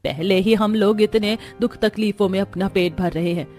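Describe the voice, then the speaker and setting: A woman voicing a kindly, pious old village woman in a cartoon story, scolding yet gentle in plain village speech. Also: medium pitch, moderate pace, soft background music, natural voice. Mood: chiding, pleading.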